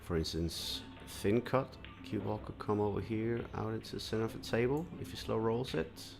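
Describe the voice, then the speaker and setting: Deep gravelly Danish pool commentator. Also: male voice, normal speed, music in the background. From Denmark. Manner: relaxed, explanatory, frequent "uh" fillers. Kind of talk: live pool commentary, reading viewer comments.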